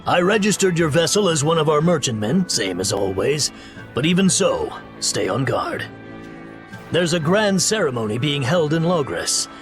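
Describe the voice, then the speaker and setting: A man's voice: fairly high pitch, sing-song, normal speed. An actor playing a shrewd male merchant in a game, with a sly, confiding manner. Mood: confiding, wary. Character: shrewd male harbour merchant, pirates' contact. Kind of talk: animated game voice acting. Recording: music behind the voice.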